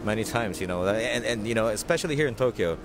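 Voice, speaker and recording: A man from the Philippines, Tagalog his native tongue, talks in a friendly, unhurried way. His voice sits on the low side, and clear music plays behind him. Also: expressive. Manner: friendly, measured, with frequent fillers. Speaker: conversation partner. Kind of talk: casual conversation. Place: in Tokyo.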